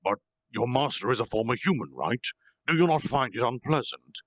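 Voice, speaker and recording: A man voicing a gentle, earnest slime monster leader who was once human, speaking in a solemn, halting confessional way for a storytime reading. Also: medium pitch, very animated, no room sound. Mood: surprised, unsure.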